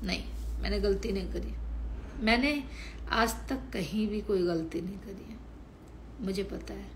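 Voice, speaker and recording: A hoarse woman from Haryana ranting crudely and combatively on a late-night live stream from home, swearing heavily into her phone. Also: medium pitch, a little hiss or hum. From Haryana, India.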